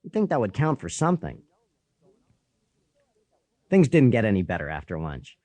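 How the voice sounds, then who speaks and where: A man narrating a children's story in the first person, with an animated, boyish storytelling manner. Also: fairly low pitch, moderate pace, no room sound. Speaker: audiobook narrator.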